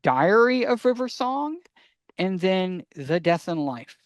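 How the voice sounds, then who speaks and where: Guy on a podcast, smooth clear slightly high voice, eager explainer, casual, dry close sound. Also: sing-song, takes it slowly, no echo. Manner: eager, explanatory, asks and answers own questions. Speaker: podcast co-host. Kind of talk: casual podcast talk, giving recommendations.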